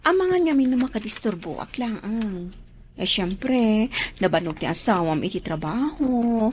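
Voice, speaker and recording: An actress playing a sociable, sympathetic neighbour in an Ilocano radio drama, chatty and lilting. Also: female voice, fairly high pitch, animated, unhurried, darkish tone, slight background noise. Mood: polite, demurring.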